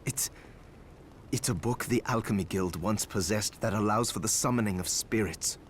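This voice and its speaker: An actor playing an earnest, worried alchemist apprentice in a video game, speaking with urgency. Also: male voice, fairly low pitch, moderate pace, a little hiss or hum, lightly acted. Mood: grave.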